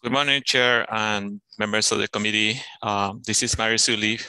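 A man's voice: on the low side, fairly even intonation, normal speed, bright, forceful tone, smooth, dry recording, poor audio. A man giving brief formal testimony for a city department over a video call. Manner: plain, brief, with fillers.

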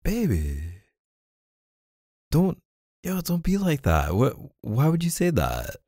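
A man's voice: fairly high pitch, wildly swooping pitch, slow pace, dark tone, no echo, studio quality, heavily acted. A man playing a laid-back, apologetic boyfriend in an intimate audio roleplay, talking casually and hesitantly with coaxing pet names. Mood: defensive, pleading. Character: laid-back, apologetic young boyfriend soothing his neglected partner. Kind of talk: boyfriend ASMR roleplay, casual intimate one-sided dialogue.

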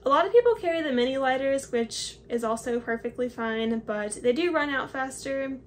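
A woman's voice: sits high, expressive, normal speed, a little hiss or hum. A woman in her twenties casually walking through her hiking gear to the camera in a small home office, explaining each item as she holds it up. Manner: chatty, explains while holding up each item. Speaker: hiking vlogger.

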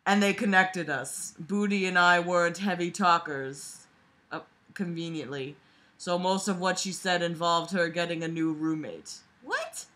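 A woman's voice: on the low side, measured pace, a bit bright. A young adult woman reading a book aloud with casual running commentary, sarcastic, exasperated and freely swearing, recorded in a bedroom. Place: small bedroom, lying on a bed. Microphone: device camera microphone at arm's length.

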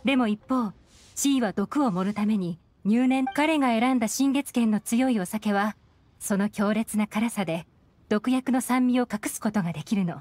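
A woman's voice: a little high, normal speed, darkish tone, close to an everyday voice. A woman playing a self-assured, sly young intelligence agent in an anime game, composed, teasing and knowing. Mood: composed explaining. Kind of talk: Japanese anime game voice acting. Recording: music behind the voice.

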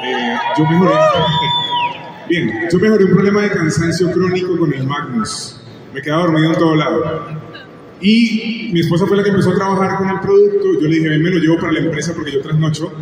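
A man from Cali telling a lively testimonial anecdote. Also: on the high side, brisk. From Cali, Colombia. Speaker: audience member giving a testimonial. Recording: echoey hall from far off, noise.

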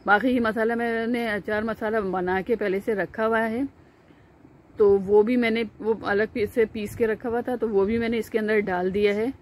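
Calm woman explaining a recipe. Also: a little high, normal speed, a little hiss or hum. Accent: Pakistani Urdu. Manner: calm, instructive, with frequent "uh" fillers and restarts. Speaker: cooking channel host. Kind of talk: step-by-step cooking narration. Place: home kitchen, at the stove.

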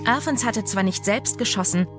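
A woman's voice: mid-range voice, normal speed, natural voice. A woman playing a murdered young woman who narrates her own death in a scripted true-crime voice-over. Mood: somber. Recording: music bed under the voice.

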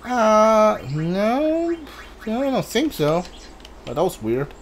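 Streamer for casual game commentary: unhurried, hesitant, thinks out loud, slight noise behind. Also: medium pitch, sing-song.

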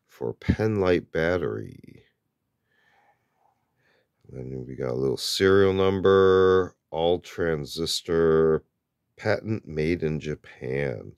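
A man's voice: extremely low pitch, a little mellow, dry recording, clean studio sound. A man hosting a show-and-tell video, narrating casually and hesitantly with many filler words while handling an object at a table indoors. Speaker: host of a show-and-tell channel.

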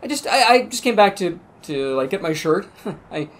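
A man plays an awkward, easily panicked middle-aged man in an improvised soap opera. He halts, stammers and apologizes, with a very animated pitch that swings widely. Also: moderate pace. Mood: awkward, nervous. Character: awkward, panicky man after a one night stand.

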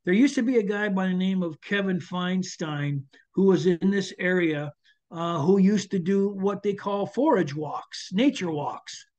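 A man's voice: high voice, a little slow, darkish tone. An older man, a chef, answering audience questions on a video call, hesitant with many restarts and fillers. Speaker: chef and foraging instructor. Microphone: video call webcam. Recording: dull lo-fi video call audio.

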